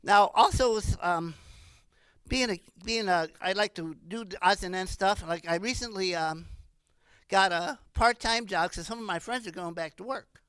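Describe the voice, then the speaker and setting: A woman in her sixties performing a stand-up comedy monologue in an animated, rambling way. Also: mid-range voice, moderate pace, clean tone, no room sound. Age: 60s.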